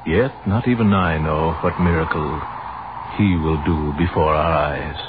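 An actor playing a courteous, devout French army captain with a French accent, speaking formally in a 1930s radio drama. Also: male voice, a bass voice. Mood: solemn, exhorting. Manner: formal, slow, solemn. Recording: muffled, noisy 1930s radio recording.

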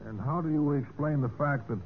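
An actor playing a methodical police investigator in a vintage radio mystery, questioning in a measured, halting way. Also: male voice, fairly high pitch, narrow, tinny audio, close to an everyday voice. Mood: probing. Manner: hesitant, measured questioning with drawn-out pauses. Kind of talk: 1940s-50s radio mystery acting. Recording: noisy band-limited old radio recording.

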